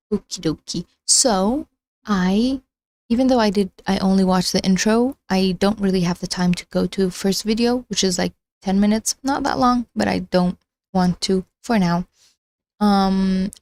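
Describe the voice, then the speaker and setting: A woman's voice: mid-range voice, darkish tone. A young woman whose first language is not English, chatting casually and rambling on a live stream from her phone, with lots of restarts and giggles. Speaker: livestreamer.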